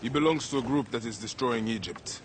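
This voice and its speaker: An actor playing a grave, determined protector in a video game, speaking in an earnest, measured way. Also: male voice, medium pitch, noisy background, lightly acted. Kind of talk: video game cinematic acting.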